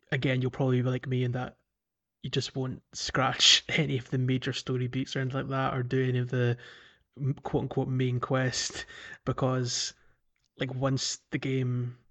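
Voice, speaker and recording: Male podcast co-host, mid-range voice with noticeable vocal fry, relaxed conversational gaming talk. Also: mid-range voice, lo-fi sound. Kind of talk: casual gaming podcast chat.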